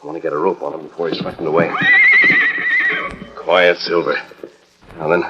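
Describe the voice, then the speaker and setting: A man's voice: rumbling low, flat delivery, moderate pace, highs cut off, background noise. A man acting a resolute, kindly masked western hero in a 1940s radio drama. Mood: urgent, serious. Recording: old 1940s radio transcription disc.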